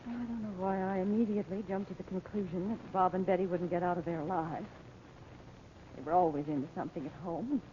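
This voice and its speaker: A woman's voice: fairly low pitch, fairly even intonation, fairly quick, dark tone, lightly acted. An actress playing an anxious, determined mother in an old radio serial, urgent and emotional. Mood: subdued worry. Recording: tinny, noisy old radio recording.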